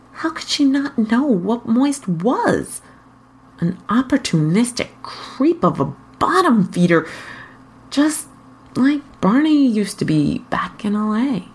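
A woman with a husky voice reading a story aloud as a narrator. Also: medium pitch, darkish tone. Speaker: fan fiction reader.

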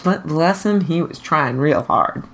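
A woman reading fan fiction aloud as an expressive scripted narration. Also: sits low, a little slow.

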